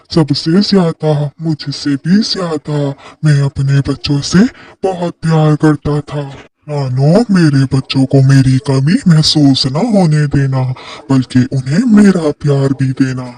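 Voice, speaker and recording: A man's voice: fairly high pitch, fairly even intonation, measured pace, a little mellow, dry recording. A man voicing a loving, heartbroken father sparrow in a children's cartoon, with sorrowful, melodramatic delivery. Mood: grieving.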